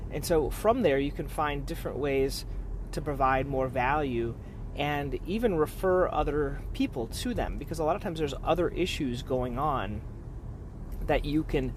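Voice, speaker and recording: A man with a medium-pitched voice talks informally, explaining practice tips to fellow professionals. He is conversational and repeats points for emphasis. Clear background noise, like outdoors or traffic, runs behind him. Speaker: podiatrist giving practice advice.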